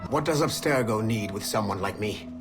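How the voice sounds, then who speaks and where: Man playing a fierce mercenary father, animated pitch. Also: moderate pace, over music, close to an everyday voice. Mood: guarded, grim. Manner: terse, intense. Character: fierce, protective mercenary father, guarded and defiant. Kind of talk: dramatic video game cutscene acting.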